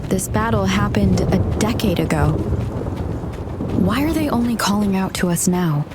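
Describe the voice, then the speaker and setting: A woman's voice: mid-range voice, animated, normal speed, heavy background noise, natural voice. Woman acting an earnest young game heroine. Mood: earnest, curious. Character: thoughtful young heroine, newcomer in a fantasy game. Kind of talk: scripted video game dialogue.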